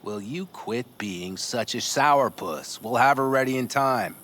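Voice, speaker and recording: A man's voice: mid-range voice, a little slow, a bit bright, a little hiss or hum, lightly acted. A man playing a proud, eager nomad mechanic in a video game, with a showy, folksy manner. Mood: easygoing.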